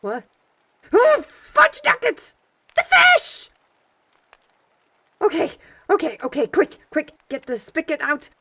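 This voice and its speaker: A woman voices an excitable young female squire in a fantasy audio play. Her voice is extremely high and lively, with drawn-out, slow delivery and flustered exclamations. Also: slight background noise, exaggerated voice. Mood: panicked. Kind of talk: fantasy audio drama acting.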